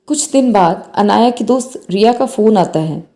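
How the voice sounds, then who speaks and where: A woman's voice: mid-range voice, animated, normal speed, mellow. A woman narrating a scripted bedtime moral story.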